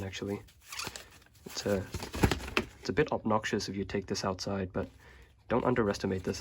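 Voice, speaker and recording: A young man from Hong Kong, a native Chinese speaker, casually showing his bookshelf in a relaxed, rambling way with many fillers. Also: on the low side, noisy background. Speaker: vlogger.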